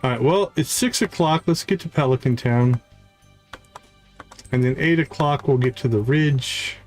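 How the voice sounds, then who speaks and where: A man's voice: mid-range voice, measured pace, darkish tone. Man musing over game music. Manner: musing, talks to viewers and self. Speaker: video game livestreamer. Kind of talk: casual live gameplay commentary, thinking aloud.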